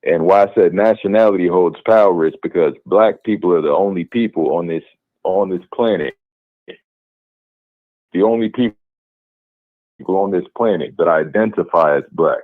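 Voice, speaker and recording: A man's voice: deep voice, moderate pace, long pauses, no room sound. A man calling in to a debate show, arguing firmly and talking over the host. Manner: insistent, talks over the host. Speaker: caller. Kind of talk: call-in debate. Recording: tinny phone line.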